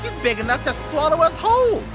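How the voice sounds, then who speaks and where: Young adventurer woman performing, parody cartoon. Wry and anxious, high voice with lively swings. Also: quick pace. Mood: worried, wry. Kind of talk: comedic cartoon parody dub. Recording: music behind the voice.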